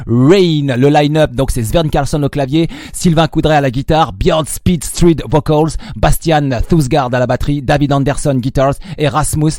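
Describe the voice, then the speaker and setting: A man's voice: fairly high pitch, darkish tone, no room sound, studio quality. A man with a French accent hosting a live radio interview, switching between French and English and stumbling over foreign titles. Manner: stumbling, self-correcting on foreign titles, quick laughs. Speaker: radio host.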